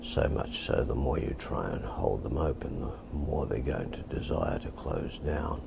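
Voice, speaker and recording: An Australian man with a deep voice, calmly and deliberately teaching and demonstrating hypnosis in a soft-spoken, repetitive way. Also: vocal fry, heavy background noise. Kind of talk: hypnosis instruction and induction, softly spoken.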